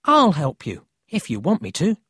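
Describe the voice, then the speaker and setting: Narrator putting on cheeky excitable city kid from a storybook, high swoopy voice, brash but friendly. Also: moderate pace, breathy edge, no room sound, clean studio sound, full character voice. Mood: friendly, eager. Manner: brash, eager, chatty. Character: cocky, excitable, friendly city boy with a horn.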